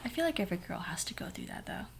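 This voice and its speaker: A young woman with a hoarse voice telling a sassy, dramatic story in a soft whisper. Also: medium pitch, sing-song, racing, heavy background noise. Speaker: ASMR storyteller. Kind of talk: whispered ASMR story time.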